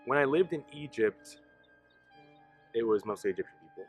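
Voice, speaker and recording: A man's voice: medium pitch, lively intonation, moderate pace, soft background music. A young man, a college senior, giving matter-of-fact answers in a documentary interview. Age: young adult.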